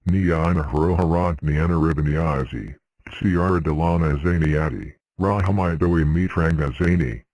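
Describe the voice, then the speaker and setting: A man's voice: very deep voice, a little mellow, no room sound. A man reading a scripted emergency alert as an announcer, in a stilted, syllable-by-syllable way.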